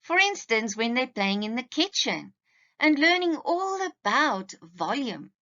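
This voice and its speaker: A woman's voice: a little high, big pitch swings, measured pace, pushed and bright, highs cut off. A woman teacher giving a scripted presentation to parents in a didactic, carefully enunciated way.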